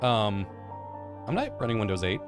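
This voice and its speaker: A man's voice: lowish voice, huge pitch swings, moderate pace. A man in his thirties reading a visual novel aloud as a let's play host, in a dramatic storytelling way with casual asides and stumbles. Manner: dramatic storytelling read with stumbles and self-corrections. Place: indoor room at a gaming setup. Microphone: close desk microphone with headset. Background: game music.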